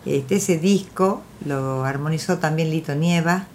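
A woman musician from Argentina with an Argentine accent, chatting in a relaxed, hesitant way during a radio interview. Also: deep voice, unhurried, a little hiss or hum. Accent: Argentine Rioplatense voseo. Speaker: musician and songwriter guest. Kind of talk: casual interview chat.

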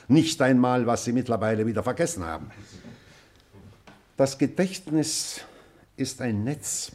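An older actor playing an elderly schoolmaster, pedantic and wistful, lecturing deliberately in a German radio play. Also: male voice, mid-range voice, close to an everyday voice. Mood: lecturing, reflective.

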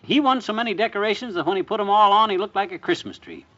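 An actor playing an excitable, boastful American husband and war veteran in a folksy, blustering 1950s radio comedy style. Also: male voice, high voice, fast talker. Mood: eager, nostalgic. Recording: muffled vintage radio recording.

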